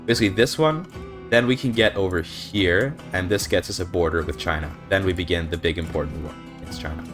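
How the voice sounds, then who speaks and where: Man, young, deep low voice, slightly scratchy throat, relaxed gameplay commentary, faint music behind. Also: a little fast. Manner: relaxed, explanatory, thinks aloud with fillers. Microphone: desk microphone.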